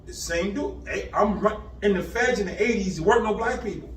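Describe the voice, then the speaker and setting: A man in his fifties from Boston, telling his life story in animated street slang, rattling off places. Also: high voice, brisk. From Boston, Massachusetts. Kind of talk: casual storytelling interview. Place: indoor room on location. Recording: echoey room, far mic, faint background hiss.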